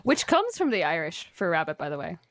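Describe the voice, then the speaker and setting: A woman's voice: lowish voice, wildly swooping pitch, fairly quick, a bit bright. A woman playing a tabletop game, talking casually as she describes her character and the rules. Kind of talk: casual improvised game chat.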